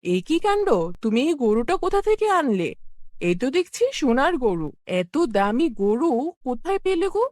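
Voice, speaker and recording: A woman plays a cartoon village wife, high-pitched and swooping, close and dry. She is scolding and easily amazed, firing exclaimed questions at her husband. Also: sing-song, normal speed, darkish tone, no echo. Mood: astonished. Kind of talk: cartoon fairy-tale voice acting.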